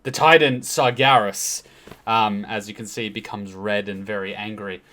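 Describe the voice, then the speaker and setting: A man's voice: fairly low pitch, steady tone, moderate pace, pushed and bright. Casual man explaining game lore. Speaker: video host.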